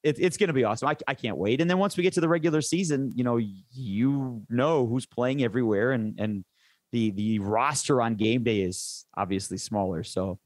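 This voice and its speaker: A man's voice: medium pitch, a little fast, darkish tone, dry recording. A man who works as a sports play-by-play announcer, chatting casually and enthusiastically in an interview, rambling into detail.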